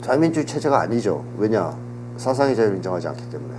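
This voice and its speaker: A man getting over a cold, explaining politics and history in a measured, lecturing way on a talk show. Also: on the low side, big pitch swings. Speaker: political commentator. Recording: audible hum and background noise.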